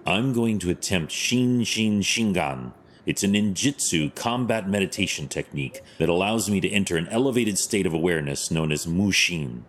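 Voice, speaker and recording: An actor playing a stern, brooding masked crime-fighter with a terse, measured, solemn delivery in an audio drama. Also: male voice, low-pitched, expressive, slight background noise. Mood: calm, resolute.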